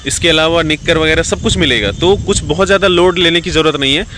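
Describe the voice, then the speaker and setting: A man's voice: a little high, fast talker, noisy background. A young man filming himself on a phone outdoors, giving casual, reassuring advice and often saying "theek hai". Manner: reassuring, repeats "ठीक है" often. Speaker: vlogger giving advice to recruits. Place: outdoors, green rural spot under trees. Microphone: phone selfie at arm's length.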